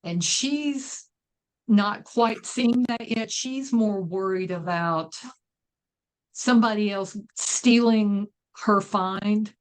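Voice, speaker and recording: A woman's voice: medium pitch, slow, rough recording. A woman speaking casually as a guest author, discussing her own writing.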